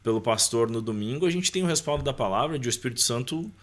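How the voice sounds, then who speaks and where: A man's voice: medium pitch, animated, quick pace. A man with a Brazilian accent and a mid-range voice talks as a guest on a podcast. He speaks informally, in the manner of someone sharing a personal testimony in conversation, often adding small tags to check that the listener follows.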